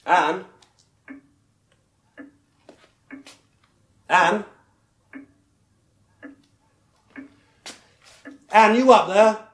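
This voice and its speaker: An actor playing a distraught, wounded young husband in a radio horror drama, emotional and volatile. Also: male voice, high voice, measured pace, slightly rough. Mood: anxious calling. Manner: emotional, swinging from hushed pleading to outbursts. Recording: noisy 1980s radio drama recording.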